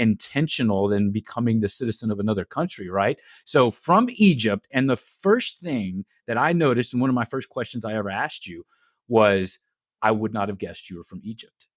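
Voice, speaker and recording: A man from Texas hosting a casual interview podcast, talking with lively emphasis and often repeating words as he starts a sentence. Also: medium pitch, no room sound, studio quality. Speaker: podcast host.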